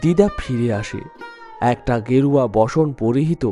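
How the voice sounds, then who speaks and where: A man's voice: mid-range voice, a little slow, dark tone, faint music behind. A man narrating a scripted story in a dramatic storytelling style.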